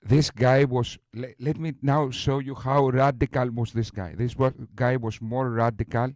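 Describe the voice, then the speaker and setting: Man, boastful rambler, restarts a lot, mid pitch, dry sound. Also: fairly even intonation, normal speed, a little mellow, no echo. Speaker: streamer host. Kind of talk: casual live-stream talk.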